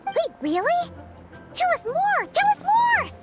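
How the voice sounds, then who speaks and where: Very high, squeaky-young excitable fairy. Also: female voice, slow pace, over loud music, heavily acted. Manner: bubbly, exclamatory, childlike. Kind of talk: animated game voice acting.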